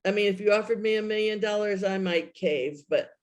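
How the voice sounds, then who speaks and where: A woman's voice: mid-range voice, fairly quick. A woman speaking as a therapist in a conversational panel chat, with a candid, emphatic manner. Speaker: guest therapist. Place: remote video call.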